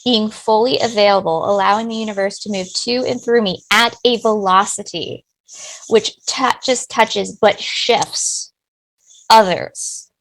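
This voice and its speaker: A woman's voice: medium pitch, steady tone, unhurried, low-quality recording. A middle-aged woman, a business owner, giving personal, talkative answers in an interview. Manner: personal, talkative, warm-hearted.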